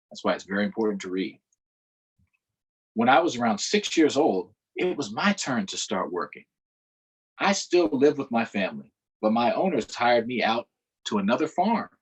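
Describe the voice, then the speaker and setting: Husky man reading a picture book to kids. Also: fairly low pitch, low-quality recording. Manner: expressive, asks the children questions. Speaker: councilmember hosting a virtual storytime.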